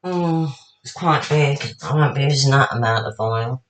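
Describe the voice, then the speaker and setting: A woman, slightly husky and tired, chatting casually to herself while making a salad at home. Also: rumbling low, normal speed, a little hiss or hum, low-quality recording. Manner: chatty, rambling, talks to herself while working. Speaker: home cook. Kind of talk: casual cooking demonstration.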